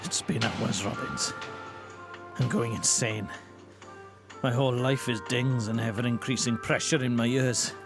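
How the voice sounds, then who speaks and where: A man's voice: medium pitch, fairly even intonation, a little slow, long pauses, over music, close to an everyday voice. Flustered sidekick, played by a man. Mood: panicked. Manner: flustered, earnest. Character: hapless, anxious Welsh male detective's sidekick. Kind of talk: comic audio drama acting.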